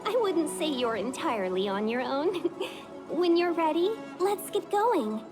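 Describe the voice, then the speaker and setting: A woman's voice: sits high, very animated, a little slow, music in the background. A woman voicing a poised, playful young fox-woman guide in a video game, polished and courteous with a teasing touch. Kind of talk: video game cutscene voice acting.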